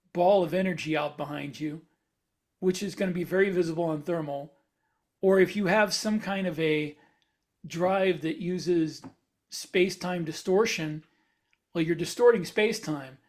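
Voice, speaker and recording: Deliberate, matter-of-fact man in podcast discussion. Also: high-pitched. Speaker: podcast co-host and science fiction author. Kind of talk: casual technical discussion.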